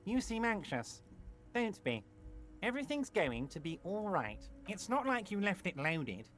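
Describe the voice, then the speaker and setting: A man's voice: high voice, big pitch swings, unhurried, fairly bright tone, soft background music, full character voice. An actor voicing a pompous, patronizing middle-aged male union boss in a video game, theatrical and syrupy. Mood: mock-soothing.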